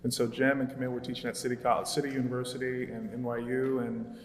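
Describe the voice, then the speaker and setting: A man on a panel talk speaking earnestly about archives, pausing often to find his words. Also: medium pitch, little pitch movement, slight background noise. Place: gallery event space. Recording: echoey gallery room with long reverb.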